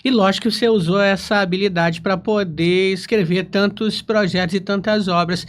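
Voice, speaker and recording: A man's voice: high voice, steady tone, normal speed. A man hosting a casual interview, chatting in an informal, playful and teasing way. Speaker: interview host.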